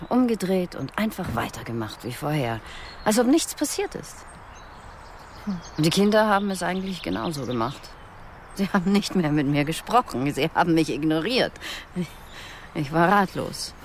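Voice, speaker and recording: Casting: older aunt, prim, indignant, expressive intonation, radio crime drama realism, slight background noise. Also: female voice, lowish voice, moderate pace. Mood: hurt, indignant. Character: older childless aunt, bitter and disapproving about her family.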